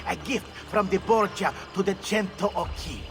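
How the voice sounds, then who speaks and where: An actor playing a florid, scheming young thief in a game cutscene, with an Italian accent. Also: male voice, high-pitched, normal speed, soft background music, lightly acted. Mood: gleeful scheming. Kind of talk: dramatic video game cutscene acting.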